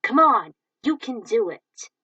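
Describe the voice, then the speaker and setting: A woman's voice: a little high, very animated, takes it slowly, pushed and bright, husky, no room sound, muffled recording, heavily acted. A woman voicing a bold adult male racing hero who gives commands and urges on his partner, recorded dry and a little far from the mic. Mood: commanding.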